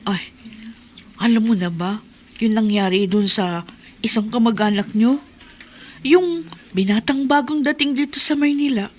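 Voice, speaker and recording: An actress playing a nosy, gossipy wife in a radio drama. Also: female voice, a little high, unhurried, pauses often.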